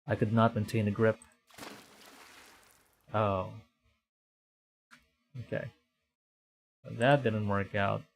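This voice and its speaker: A man from the Philippines streaming a game, thinking aloud in a halting way and reading story text aloud. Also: lowish voice, little pitch movement, creaky. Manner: halting, thinking aloud, stumbling over read lines. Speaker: VTuber streamer. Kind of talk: live game playthrough commentary, reading visual novel text aloud. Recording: background game music. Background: game music.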